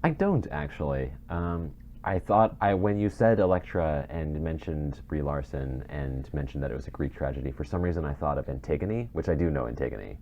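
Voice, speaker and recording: A man's voice: rumbling low, expressive, moderate pace, dark tone. A man co-hosting a podcast in casual chat, hesitant and chipping in with comments. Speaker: podcast co-host. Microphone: faulty mic on a voice call. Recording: dull lo-fi mic, faint noise.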